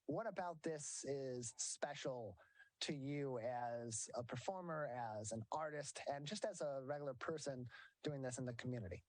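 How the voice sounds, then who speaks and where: A man's voice: mid-range voice, normal speed, a little mellow, no room sound. A man hosting a broadcast interview, speaking in a wordy way and repeating details for listeners. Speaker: interview host.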